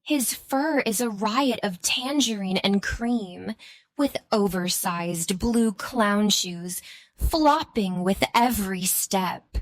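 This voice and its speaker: A woman's voice: mid-range voice, takes it slowly, no room sound. A woman reading scripted narration for a children's bedtime story.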